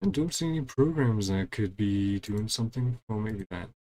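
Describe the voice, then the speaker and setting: A man's voice: on the low side, expressive, normal speed, dark tone. A man giving casual live commentary as he streams a game, muttering to himself and trailing off.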